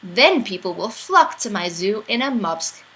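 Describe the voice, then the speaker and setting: A woman's voice: medium pitch, big pitch swings, measured pace, sharp tone. A woman reading a rhyming children's picture book aloud in a playful, theatrical storytelling manner.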